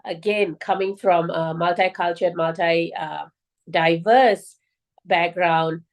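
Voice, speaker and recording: A woman from Malaysia, a guest in an interview, telling her story earnestly with frequent fillers and restarts. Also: lowish voice.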